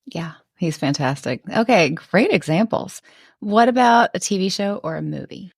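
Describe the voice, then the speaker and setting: A woman in her forties hosts a relaxed interview, steering with short questions and quick encouraging replies. Her voice sits on the low side for a woman. The mic is close and dry, with no room sound. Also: gravelly, breathy edge, no room sound. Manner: warm, brief affirming reactions and questions. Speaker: podcast host. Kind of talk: casual interview.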